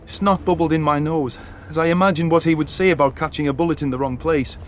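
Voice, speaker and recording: A man's voice: on the high side, steady tone, fast, a little hiss or hum. A man narrating a science fiction story in the first person with dramatic delivery. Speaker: audiobook narrator. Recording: narration over background music.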